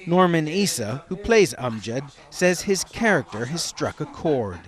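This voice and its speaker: A man narrating a television news report in a steady, measured broadcast voice. His pitch sits a little above most men's. The delivery is even and deliberate. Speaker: news correspondent.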